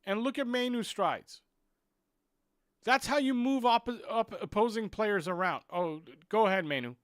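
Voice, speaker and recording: A man live-streaming a football watchalong, switching between muttering while he fixes his stream clock and excited, frustrated rants about the players. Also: high-pitched, unhurried, clear, resonant tone, dry recording, clean studio sound. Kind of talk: live stream match commentary.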